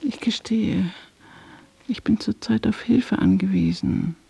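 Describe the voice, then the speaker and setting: An actress playing a stranded, demanding married woman traveller in a radio play, speaking in deliberate, imperious short phrases. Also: medium pitch, creaky, mellow, slight background noise. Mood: plaintive. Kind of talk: German radio play acting.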